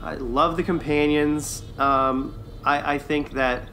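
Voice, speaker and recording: Male podcast co-host, enthusiastic and conversational, bright forward tone, slightly higher pitch. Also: fairly even intonation, a little hiss or hum. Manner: enthusiastic, quick to chuckle. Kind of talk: casual, enthusiastic fan review chat.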